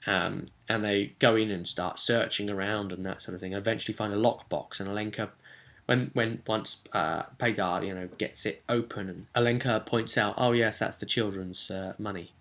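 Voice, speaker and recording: Bright-voiced man rambling through a game recap. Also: lowish voice, fairly even intonation, normal speed. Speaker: game master recapping a tabletop session. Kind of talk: casual unscripted session recap.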